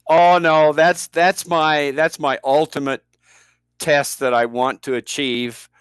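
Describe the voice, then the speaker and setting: Older american guy talking about teaching languages, smooth resonant voice, dry sound. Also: on the high side, flat delivery, takes it slowly, no echo. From United States. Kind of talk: interview conversation.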